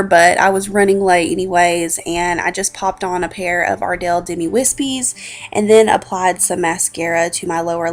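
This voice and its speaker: A woman in her twenties with a congested, slightly scratchy voice, chatting informally as a beauty vlogger narrating a makeup routine. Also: on the low side. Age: 20s. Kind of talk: casual makeup tutorial narration. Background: background music.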